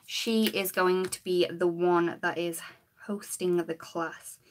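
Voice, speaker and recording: Slightly croaky woman demonstrating coloring. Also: fairly low pitch, steady tone, takes it slowly, background noise. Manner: chatty, talks while working, greets viewers. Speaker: craft demonstrator hosting a live stream.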